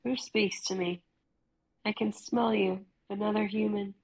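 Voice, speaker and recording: A woman's voice: medium pitch, fairly even intonation, unhurried, gravelly, rough recording. A woman voicing a vengeful male giant who despises humans and gods, speaking in menacing declamations in a live script reading.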